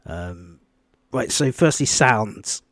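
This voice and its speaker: A quiet-voiced man giving a rambling, off-the-cuff Bible study video, with many ums and restarts. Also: on the low side, lively intonation, slow pace, no echo, clean studio sound. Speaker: Bible teacher.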